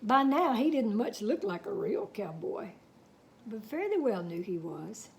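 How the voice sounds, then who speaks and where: Children's story reader: woman, high-pitched, very lively and folksy. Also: measured pace, creaky. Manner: animated, folksy, dramatic. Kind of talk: expressive storybook read-aloud with folksy asides.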